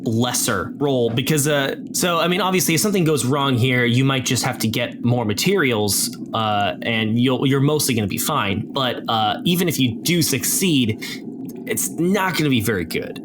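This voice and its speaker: A man running a tabletop game as game master, narrating off the cuff in a halting way. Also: mid-range voice, animated. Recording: clear background noise, room ambience.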